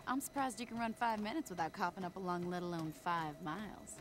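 An actress playing a tough, foul-mouthed gang boss in a video game cutscene, blunt, sarcastic and commanding. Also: female voice, fairly low pitch, expressive, fairly quick, over music, lightly acted.

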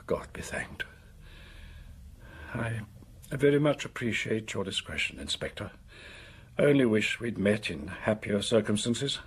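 A lonely, respectable man in a radio drama, low and very husky. His pitch swings widely, and he speaks in formal, halting phrases with an anxious edge. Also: expressive, exaggerated voice. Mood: shaken. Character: lonely, anxious, respectable married office man, secret lover.

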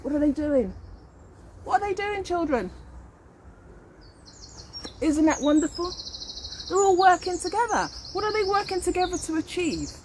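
A middle-aged woman, a teacher reading a picture book aloud to young children in an animated way and explaining as she goes. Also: very high voice, normal speed, long pauses. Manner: animated, questioning, addresses children directly. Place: outdoors in a leafy garden. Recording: outdoor recording with birdsong and ambient noise. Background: birdsong.

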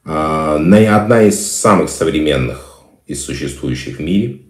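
A man's voice: very deep voice, animated, unhurried, echoey room. A middle-aged man answering viewer questions on a live stream, with hesitant fillers and emphatic repetition. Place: small indoor room. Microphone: phone camera close-up.

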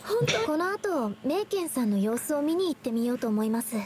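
A woman playing a shy, earnest young woman painter in a Japanese anime game, softly hesitant and polite. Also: high voice, big pitch swings, a little fast.

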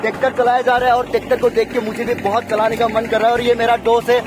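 A young man vlogging outdoors in rice paddies, talking excitedly and directly to viewers, filmed on a phone at arm's length with music underneath. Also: extremely high pitch, quick pace, crowd noise, noisy background. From Assam, India. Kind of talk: casual vlog talk to camera. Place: outdoors in rice paddy fields. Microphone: phone camera held at arm's length.

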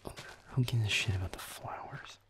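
A man playing a grumpy, irritable young werewolf boyfriend in an audio roleplay, muttering sarcastically in a gravelly, breathy near-whisper. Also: on the low side, brisk, natural voice. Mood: irritated, exasperated. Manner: muttering, grudging, sarcastic, sweary. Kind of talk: intimate audio roleplay over gameplay.

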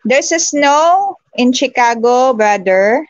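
High-voiced, slow-talking Filipino woman. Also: animated, no room sound, lo-fi sound. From Philippines. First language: Tagalog. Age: young adult. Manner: halting, searching for English words. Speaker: livestream host. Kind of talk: casual livestream chat with viewers. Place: small room at home, indoors.

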